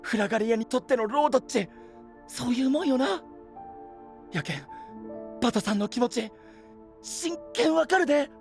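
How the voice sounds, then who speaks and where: A man's voice: extremely high pitch, lively intonation, normal speed, pauses often, faint music behind. A man playing an earnest, encouraging young knight in a voice drama, heartfelt and pleading, with an Oita dialect from western Japan. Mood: gentle, understanding. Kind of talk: anime-style voice drama acting.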